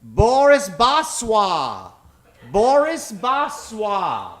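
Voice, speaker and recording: A man's voice: sits high, huge pitch swings, drawn-out words, a bit bright. A man hosting a live improv stage show, theatrical and mock-formal, projecting to the crowd in old-time radio style. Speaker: comedy show host. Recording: echoey theater stage, faint hiss.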